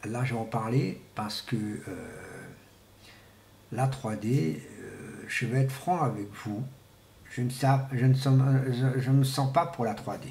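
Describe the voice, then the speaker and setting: A man giving an informal, rambling monologue to viewers, speaking haltingly and often repeating words and restarting. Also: mid-range voice, a little mellow. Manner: often clears throat. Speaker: vlogger. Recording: echoey room with faint background noise.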